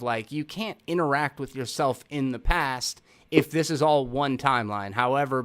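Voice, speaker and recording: Clear-voiced man chatting casually about film. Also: mid-range voice, fairly bright tone. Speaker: host of a movie review show.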